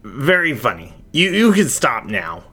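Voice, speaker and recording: A man's voice: big pitch swings, unhurried, bright, forceful tone, husky, heavily acted. A man voicing a smug squirrel thief in a story, blustering, cocky and nervous underneath. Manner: blustering, pitched up.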